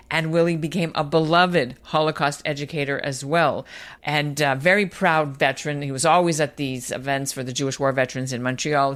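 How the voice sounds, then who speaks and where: A man's voice: fairly high pitch, a bit bright. A man co-hosting a casual news podcast, leading the conversation through the segments. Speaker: podcast co-host.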